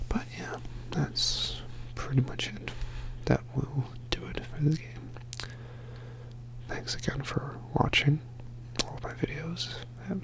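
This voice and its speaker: A man whispering a soft, rambling ASMR commentary over gameplay as host of his channel, joking about himself with quiet laughs. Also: a little high, vocal fry, poor audio. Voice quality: whispering throughout.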